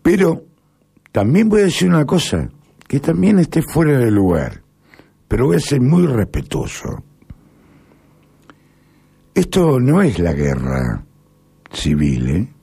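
A middle-aged Argentine man speaking in a rambling, halting monologue with sudden emphatic rises. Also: medium pitch, huge pitch swings, creaky, mellow, husky. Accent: Argentine Spanish. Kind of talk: casual spoken monologue.

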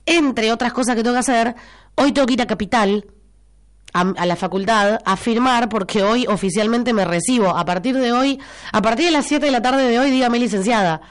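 A woman's voice: on the high side, normal speed. Congested Argentine woman, chatty radio host. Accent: Argentine Spanish. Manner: chatty and expressive, repeats phrases for emphasis. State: congested, stuffy nose with a cough. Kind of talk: casual morning radio chat reading listener messages. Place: radio studio.

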